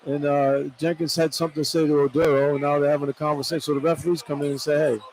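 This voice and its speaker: A man's voice: fairly high pitch, flat delivery, rapid-fire, a little mellow. Informal male color commentator over noisy arena. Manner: informal, opinionated, colloquial. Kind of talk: live basketball analysis. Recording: noisy live arena broadcast. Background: arena crowd ambience.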